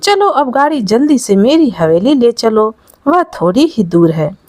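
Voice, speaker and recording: A woman's voice: fairly high pitch, big pitch swings, unhurried, darkish tone, no echo. A woman storyteller voicing a cunning, bullying male merchant who scolds and lectures in a moral tale. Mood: agreeable. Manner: scolding, lecturing, self-righteous.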